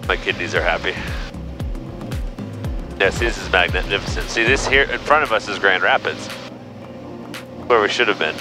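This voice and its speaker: A man's voice: low-pitched, normal speed, pauses often. A man who is a pilot, chatting casually and making radio calls from the cockpit of a small plane. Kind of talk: casual chat and radio calls while flying. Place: small airplane cockpit in flight. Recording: loud music over cockpit audio.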